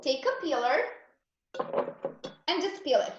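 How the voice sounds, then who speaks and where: A woman's voice: high-pitched, expressive, measured pace, very echoey, dull audio, over music. A woman from Serbia with a Serbian accent, a raw vegan chef guiding a cooking class in an earnest, encouraging way. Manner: earnest, encouraging, gives step-by-step instructions.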